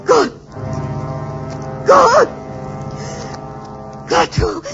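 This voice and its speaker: A woman's voice: very high voice, extremely slow, gravelly, full character voice. An actress playing a terrified, emotional young woman in a 1950s radio drama. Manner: breathless, emotional, crying out. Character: terrified young woman pleading with an alien robot. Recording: dull vintage radio recording with music behind.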